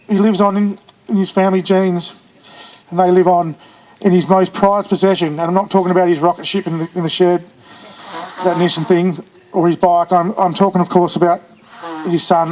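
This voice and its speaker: A high, flat-toned man speaks at a funeral for his childhood friend. His delivery is earnest and halting, with frequent restarts. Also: slight background noise, rough recording. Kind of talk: funeral eulogy.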